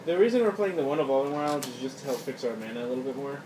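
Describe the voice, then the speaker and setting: A man's voice: mid-range voice, expressive, very fast. A man hosting a casual card game video, chatting with viewers in a laid-back, chuckling way as he plays at a table. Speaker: card game player and channel host. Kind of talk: casual play commentary. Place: indoor room at a game table. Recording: roomy, distant, noisy phone capture.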